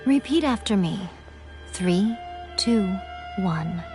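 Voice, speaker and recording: A cunning, self-assured shrine maiden from a video game, speaking with coy, knowing playfulness. Her voice has an average pitch for a woman, with music behind it. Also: expressive, takes it slowly, darkish tone. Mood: teasing, calm. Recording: music under the voice.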